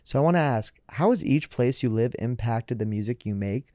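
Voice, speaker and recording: A young man hosts a casual music interview, upbeat and talkative, leaning on "like" as he goes. His tone is mellow and warm. The recording is dry and close to the mic. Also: mid-range voice, expressive, moderate pace, no echo. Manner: upbeat, chatty, many likes. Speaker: podcast host.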